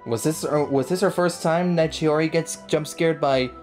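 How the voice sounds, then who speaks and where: Playful low-voiced woman reacting to a game. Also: normal speed, a little mellow. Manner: slangy, playful, laughs often. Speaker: gameplay streamer. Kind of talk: casual game reaction commentary. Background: game music.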